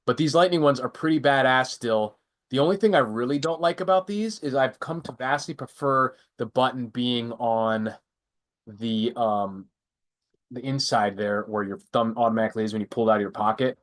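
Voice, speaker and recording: A man's voice: medium pitch, normal speed. Congested young guy rambling thru gear picks on a live stream, stuffy nose, keeps correcting himself. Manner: chatty, rambling, self-correcting. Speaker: prepper channel host. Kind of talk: casual live stream product rundown.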